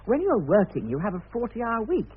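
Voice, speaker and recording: A man's voice: sits high, wildly swooping pitch, fairly quick, full character voice. An actor playing a naive new millionaire in a radio comedy, earnest and hesitant, bumbling as he tries to please. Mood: earnest, self-important. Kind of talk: radio comedy drama acting. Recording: muffled, husky-sounding radio drama recording.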